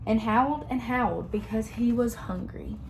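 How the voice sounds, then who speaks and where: A young woman teacher reading a picture book aloud to her class in a slow, expressive storytelling manner. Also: on the high side, slight background noise, poor audio.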